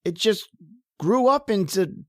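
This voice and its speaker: A woman playing a timid, uneducated male lab assistant who stammers and pleads in rough slangy speech. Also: medium pitch, animated, takes it slowly, dry recording, studio quality. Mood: scared. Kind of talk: old-time radio horror acting.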